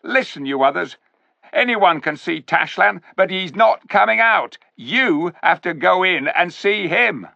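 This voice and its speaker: A shrill jeering ape. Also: male voice, expressive, measured pace, creaky, bright, forceful tone, no echo, full character voice. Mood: sly mocking. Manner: mocking, jeering, cackling. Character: sly jeering male ape, shrill and bossy. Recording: dull lo-fi recording.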